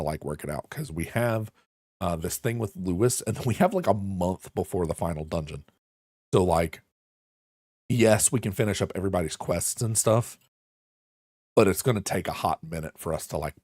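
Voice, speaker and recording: A man's voice: low voice, moderate pace, darkish tone, breathy edge, dry recording, clean studio sound. A young man streaming a game, reading the dialogue aloud and chatting in a loose, self-mocking way.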